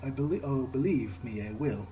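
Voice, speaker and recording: A man's voice: medium pitch, animated, normal speed, warm tone, slight background noise. A man improvising in character during a video game, conversational and questioning, with hesitant phrasing. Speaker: let's player roleplaying in character.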